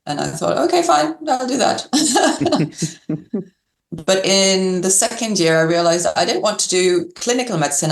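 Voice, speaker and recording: Woman guest talking it through, bit of fry in her voice, roomy echo around her. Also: low voice. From Algeria and Yorkshire. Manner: thoughtful, laughs lightly. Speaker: guest, public health doctor. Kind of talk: interview answers. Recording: echoey room.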